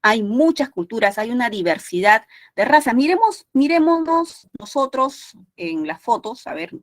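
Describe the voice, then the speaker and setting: A woman's voice: on the high side, big pitch swings, moderate pace, no room sound. Woman, Peruvian accent, exam prep class, chatty and repetitive, dead dry room. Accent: Peruvian Spanish. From Peru. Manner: conversational, tag questions, frequent restarts. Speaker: language teacher.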